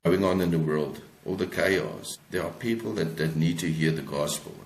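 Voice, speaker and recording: A low, deep male voice with a South African accent, recorded in an echoey room. He talks as an interview guest, exhorting listeners and repeating phrases as he goes. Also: moderate pace, creaky. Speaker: podcast guest, author. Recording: echoey, roomy sound.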